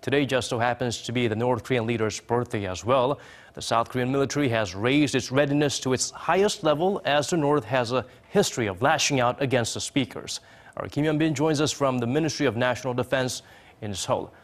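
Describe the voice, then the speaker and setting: A man's voice: mid-range voice, fairly quick. A man in his thirties presenting scripted news as an anchor in a TV studio.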